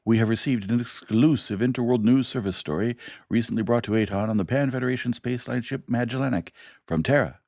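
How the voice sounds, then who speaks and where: A man doing a brisk news commentator. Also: mid-range voice, creaky, darkish tone, no room sound, studio quality, voice pushed up, natural voice. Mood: announcing. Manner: announcer delivery, quick.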